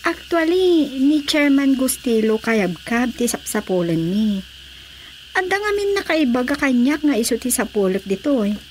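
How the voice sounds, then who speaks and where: An actress playing an assertive, businesslike madam in a radio drama, with an Ilocano Filipino accent. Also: female voice, high voice, very animated, moderate pace, a little hiss or hum, rough recording. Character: assertive, businesslike madam visiting a remote village.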